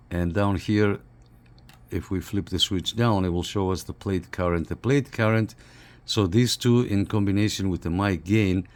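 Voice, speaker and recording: A man with a low, deep voice talks through a hands-on review without a script. He explains things step by step in short phrases, pausing between them. He speaks from behind the camera in a living room. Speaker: radio reviewer. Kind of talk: unscripted product walkthrough.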